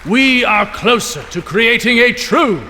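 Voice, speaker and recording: A man's voice: very high voice, expressive, unhurried, sharp tone, a little hiss or hum, heavily acted. An actor playing a grand middle-aged king who gives a ceremonious speech to a banquet hall. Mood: rousing. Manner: ceremonious, rousing oratory. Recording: long hall reverb with crowd murmur.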